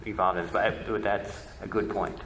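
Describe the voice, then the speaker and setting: A man with a fairly low voice speaks in a roomy, echoing space with faint noise. He answers questions as a presenter, haltingly, often restarting with repeated words. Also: steady tone, a bit bright. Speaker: panel presenter, old-time radio researcher. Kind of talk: answering audience questions at a convention talk. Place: echoey convention meeting room.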